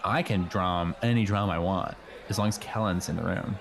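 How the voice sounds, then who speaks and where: A man playing an earnest, know-it-all high school boy in an improvised comedy radio play, rambling casually with lots of slang. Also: normal speed. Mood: earnest, explaining. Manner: rambling, hesitant, peppered with "like" and slang.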